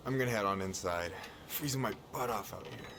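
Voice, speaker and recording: Game role: laid-back young American male friend, played by an actor, reassuring, slightly low pitch, faint background noise. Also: a little fast, close to an everyday voice. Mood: easygoing, teasing. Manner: easygoing, colloquial, reassuring. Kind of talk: naturalistic video game dialogue.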